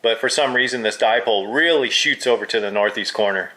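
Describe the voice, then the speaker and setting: A man's voice: fairly low pitch, brisk, pushed and bright. A man having a casual hobbyist chat as a radio operator, heard through a two-way radio. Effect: two-way radio transmission. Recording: crackly two-way radio audio.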